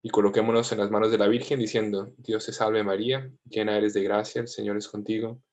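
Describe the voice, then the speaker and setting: Thirties priest with a low voice and a mixed accent, gentle and informal, heard over a video call. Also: male voice, little pitch movement, brisk. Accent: mixed Latin American and Spanish. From United States, family from Colombia. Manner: gentle, informal, with frequent fillers.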